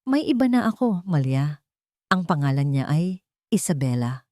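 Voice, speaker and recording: A woman's voice: low-pitched, very animated, moderate pace, dark tone, no room sound. Woman as heartless husband, cold manner, story drama, dry close sound. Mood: cold, merciless. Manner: cold, emotionless. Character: cold, heartless husband leaving his wife.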